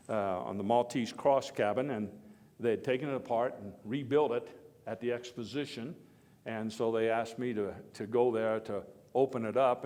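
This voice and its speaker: A man's voice: mid-range voice, darkish tone, close to an everyday voice. Man playing a folksy old-time statesman in an echoey hall. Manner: folksy, halting, with oratorical emphasis and wry asides. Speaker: historical reenactor. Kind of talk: live first-person historical monologue, speech to an audience. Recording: echoey hall with long reverb.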